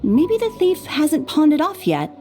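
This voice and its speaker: A woman's voice: high-pitched, wildly swooping pitch, unhurried, music in the background, full character voice. A woman voicing a chatty, friendly tinkerer who deals in scrap metal in a video game.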